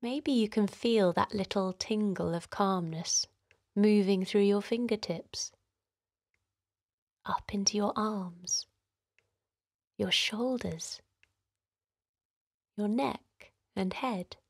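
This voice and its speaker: A woman gently guiding a children's relaxation in a soothing, encouraging way, her voice softly breathy and hushed at times. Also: medium pitch, slow pace, long pauses, no room sound, studio quality. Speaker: host of children's guided relaxation. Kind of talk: guided meditation narration for children.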